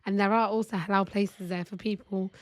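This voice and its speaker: A woman's voice: medium pitch, fairly even intonation, fairly quick. A woman co-hosting a comedy podcast, her voice strained from losing it, laughing easily and speaking supportively in casual chat. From England. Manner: giggly, warmly supportive. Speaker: podcast co-host, wife.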